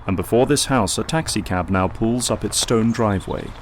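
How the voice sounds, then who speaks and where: A man playing a solemn narrator, reading measured, descriptive scripted narration for an audio drama. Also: lowish voice, a little hiss or hum, lightly acted. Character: solemn storytelling narrator of a superhero tale.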